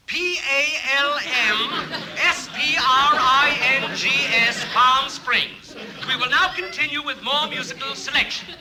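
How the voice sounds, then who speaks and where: A man's voice: extremely high pitch, measured pace, a loud crowd, very noisy. A man playing a formal radio announcer reading a scripted advertisement in an old radio broadcast. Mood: formal, promotional.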